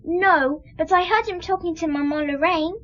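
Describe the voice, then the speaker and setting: A woman's voice: very high-pitched, moderate pace, a bit bright, dull audio. A female performer playing an innocent, trusting little girl with candid, childlike phrasing in a radio drama.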